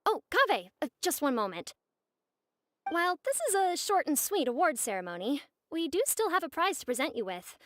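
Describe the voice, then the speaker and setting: High-voiced ceremony presenter. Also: female voice, big pitch swings, moderate pace, a bit bright, slight background noise. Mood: courteous. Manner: announcing, courteous. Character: polite, formal female award ceremony presenter. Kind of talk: video game voice acting.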